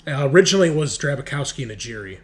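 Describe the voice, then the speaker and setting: A man's voice: medium pitch, lively intonation, very fast. A man casually narrating a tabletop roleplaying game as game master. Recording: noticeable background noise.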